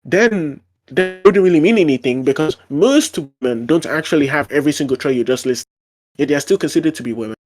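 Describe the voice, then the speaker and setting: A man's voice: fairly high pitch, animated, a little fast. A man in a casual online debate, arguing his point with frequent fillers and restarts. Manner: argumentative, lots of fillers and restarts.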